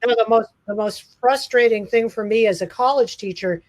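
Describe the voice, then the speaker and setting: A man's voice: sits high, a little fast, no echo. Chatty man hosting a video call. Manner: friendly, rambling, full of fillers and restarts. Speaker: college teacher and session host. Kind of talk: casual video call chat.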